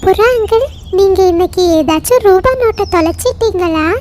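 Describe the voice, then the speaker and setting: A woman's voice: very high voice, moderate pace, a little mellow, slight background noise. A woman voicing an earnest, polite young girl bird in a children's cartoon. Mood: polite, curious. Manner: polite, questioning, firm. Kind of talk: children's cartoon story acting.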